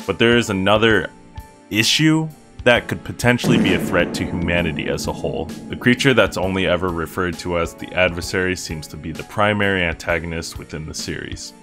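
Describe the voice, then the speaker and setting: A man's voice: sits low, a bit bright. A young man narrating a casual scripted video essay in an irreverent, joking manner. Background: music with guitar under the voice.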